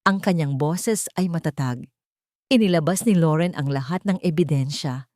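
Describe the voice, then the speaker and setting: A woman with a Filipino accent narrates a dramatic audiobook story. Her voice sits fairly low, and the recording is dry and close, with a scripted storytelling delivery. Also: normal speed, a little mellow, no echo.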